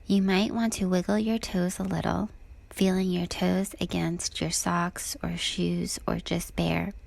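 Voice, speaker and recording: A woman with a soft, breathy voice guides a body scan meditation in a calm, gentle, unhurried manner. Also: fairly low pitch.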